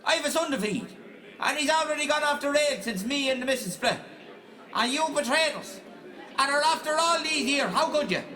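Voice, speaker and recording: A man's voice: very high voice, lively intonation, moderate pace, exaggerated voice. A man playing a hot-headed factory worker in a radio play, rowdy and emphatic. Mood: outraged. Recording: loud crowd around the voice.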